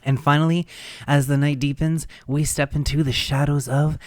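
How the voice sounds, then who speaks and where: A man hosting a radio show, reading a scripted introduction to old-time programs in a measured storytelling way. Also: on the high side, level tone, a little mellow, no room sound, studio quality.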